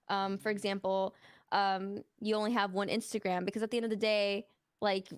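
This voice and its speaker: A woman's voice: medium pitch, clear, resonant tone, no echo, studio quality. A woman from Mexico, a streamer guest in a casual interview, talking openly and rambling with many fillers.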